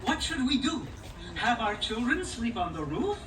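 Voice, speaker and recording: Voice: mid-range voice, big pitch swings, normal speed, fairly bright tone. A performer playing a harried, good-natured village father in a live puppet show, exclaiming and pleading in comic exasperation. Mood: pleading, wry. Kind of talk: live puppet show folk tale acting. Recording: echoey live show, distant mic.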